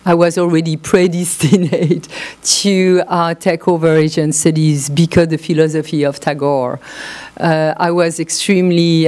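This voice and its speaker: Slow, low-voiced woman with French accent. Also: darkish tone. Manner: hesitant, many pauses and fillers. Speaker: panel speaker. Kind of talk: conference talk. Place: conference hall.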